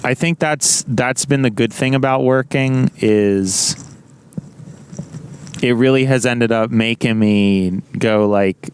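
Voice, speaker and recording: A young man with a naturally loud voice, rambling casually to the camera with many restarts and self-corrections. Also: lowish voice, clean tone. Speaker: vlogger.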